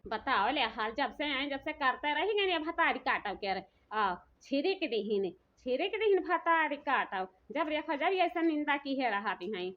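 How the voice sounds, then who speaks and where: A woman as a high-voiced, aggrieved village daughter-in-law. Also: very animated, unhurried, hardly any pauses. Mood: indignant. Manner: complaining, plaintive. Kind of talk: comedy cartoon dialogue in rural dialect. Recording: dull, muffled audio.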